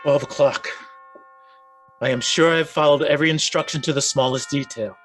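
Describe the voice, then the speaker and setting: Fussy gentleman doctor, fairly high voice, formal, bewildered, easily alarmed, gothic radio drama.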